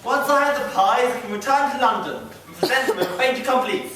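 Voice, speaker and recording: Male actor playing boastful stage villain, very high voice, gloating, amateur pantomime, distant noisy hall. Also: quick pace. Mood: smug, eager. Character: gloating villain plotting world domination. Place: large school hall stage. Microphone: distant camera microphone. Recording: distant echoey hall, noisy, audience sounds.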